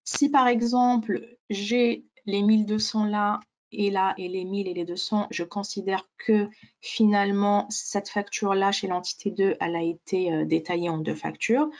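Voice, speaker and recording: A woman giving an unscripted webinar demonstration of a software tool, explaining it step by step. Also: medium pitch.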